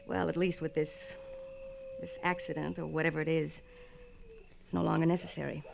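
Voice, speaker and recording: An actress playing a tough-minded, bitter wife in a 1940s radio drama, hard-edged and controlled. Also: female voice, low voice, little pitch movement, fairly quick, gravelly, background noise. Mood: cold, frank. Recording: muffled vintage radio recording.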